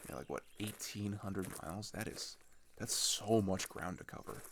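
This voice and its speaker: A man's voice: fairly low pitch, normal speed, a little hiss or hum, natural voice. A man playing an earnest, disillusioned teenage traveler in an ASMR roleplay, talking hesitantly and conversationally, often trailing off. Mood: casual, hesitant. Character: chatty, earnest, disillusioned male teenager on a journey. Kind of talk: ASMR roleplay, naturalistic improvised-sounding monologue.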